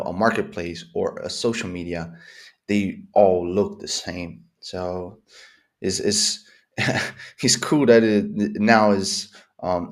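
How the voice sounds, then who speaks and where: A man in his thirties, not a native English speaker, rambling through a casual coding session with lots of fillers and restarts, at a desk in a home office. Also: low voice. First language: Portuguese or Spanish speaker; English not first language. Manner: rambling, thinking aloud with many fillers and restarts. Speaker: coding vlogger. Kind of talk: casual live screen-recorded coding commentary.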